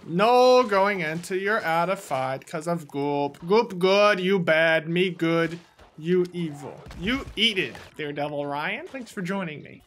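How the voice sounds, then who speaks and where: A young man with a high-pitched voice for a man, giving playful, casual commentary while he plays, talking to himself and his viewers through a headset mic in a small room at home. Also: animated, measured pace. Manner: playful, talks to himself and the viewers, sudden loud yelps. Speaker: gaming YouTuber.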